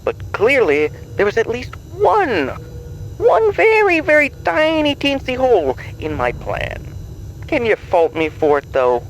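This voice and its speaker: A man's voice: very high-pitched, huge pitch swings, unhurried, slight background noise, heavily acted, poor audio. A man playing a suavely menacing game show boss in an audio drama, theatrical, condescending and mock-polite. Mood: amused evasion.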